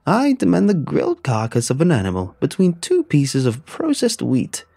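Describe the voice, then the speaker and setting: A man voicing a pompous, shrieking egg-shaped creature who makes booming, formal demands. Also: wildly swooping pitch, moderate pace, vocal fry, dark tone, slightly rough, no echo, faint music behind, exaggerated voice. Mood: booming demanding.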